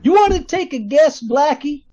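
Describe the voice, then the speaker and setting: A man's voice: extremely high pitch, expressive, normal speed, dark tone. A man playing a taunting, menacing intruder in 1940s radio detective drama style. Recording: dull, lo-fi video-call audio.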